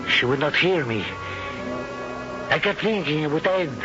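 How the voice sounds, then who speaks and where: An actor playing a proud, anguished older Spanish husband in a halting, melodramatic 1970s radio drama style. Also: male voice, fairly high pitch, lively intonation. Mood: sorrowful, confessing. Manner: slow, halting, melodramatic delivery. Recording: dull old radio broadcast with music.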